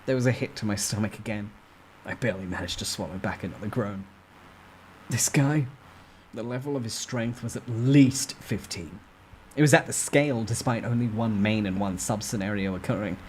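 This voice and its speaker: A man narrating a first-person novel in a dramatic, expressive storytime ASMR style. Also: lowish voice, moderate pace, long pauses, a little hiss or hum, rough recording.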